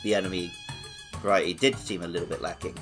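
A man's voice: low voice, steady tone, quick pace, fairly bright tone. A man co-hosting a podcast in casual, enthusiastic gaming discussion. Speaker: podcast host. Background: background music.

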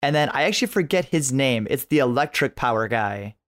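A man talks casually as a podcast guest, with the keenness of a devoted fan. He often doubles back on his phrases as he chats about anime at a middling pitch. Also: lively intonation, brisk, fairly bright tone.

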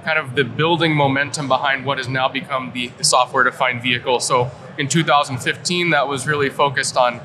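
A man's voice: medium pitch, steady tone, fairly quick, few pauses. A man from rural Kansas talking conversationally as an analyst guest in an interview at a trade show. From rural Kansas, United States. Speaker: guest, industry analyst and director. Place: live at an automotive trade show. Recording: noisy trade show floor. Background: clear ambient noise.

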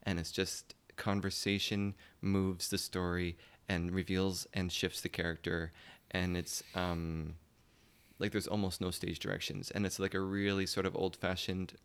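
A man's voice: sits low, level tone, moderate pace, creaky, clean tone. A man speaking as an interview guest in casual chat, loose and giggly, often trailing off mid-thought.

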